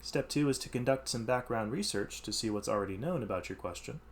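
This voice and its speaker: A man's voice: mid-range voice, a little fast. A man reading educational text aloud as a teacher, stressing key words and adding informal explanatory asides.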